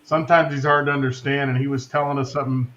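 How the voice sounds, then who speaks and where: A man from Wisconsin telling stories in a relaxed, measured way during a casual group chat. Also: medium pitch. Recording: slightly dull lo-fi line with faint noise.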